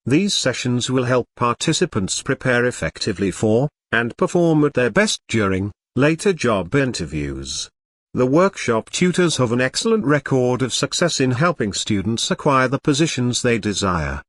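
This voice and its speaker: A man reading formal text aloud as scripted exam practice narration, with careful, measured phrasing. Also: mid-range voice, very animated, dry recording, studio quality.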